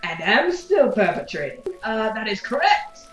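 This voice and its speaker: Woman playing a high, animated, grandiose revolutionary leader. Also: a little slow, echoey room. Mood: defensive. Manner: formal, stilted, refers to self as "one". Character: grandiose revolutionary leader, effusively polite yet unrepentant zealot. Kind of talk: audio drama acting.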